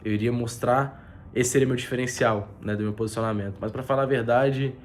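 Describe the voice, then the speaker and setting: A man with a bad cold talks straight to camera in a casual, earnest way as a mentor, his voice congested and nasal. He circles back over his points, restarting sentences and filling pauses, at an everyday middle pitch. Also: steady tone, a little hiss or hum. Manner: earnest, repeats himself, many fillers and restarts. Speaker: business mentor. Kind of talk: casual faith-based motivational talk to camera. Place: spot with a view over the city.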